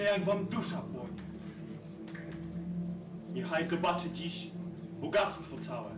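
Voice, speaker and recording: A brooding young poet-hero, played by a teenage boy, recites slowly and intensely in a high voice. Music plays clearly behind him. The sound comes from far across an echoing hall. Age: teens. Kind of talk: school stage play.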